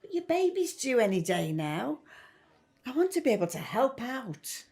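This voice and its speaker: A woman's voice: a little high, very animated, moderate pace, darkish tone. An actress playing a fretful, grumbling middle-aged working-class mother in a 1950s family audio drama. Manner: grumbling, worrying.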